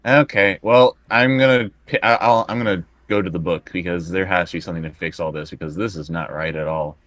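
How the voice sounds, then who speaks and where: A low-voiced man talks casually as a tabletop game player. He is hesitant and often restarts his sentences. The sound is dry, with very little room. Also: brisk, a bit bright, no room sound.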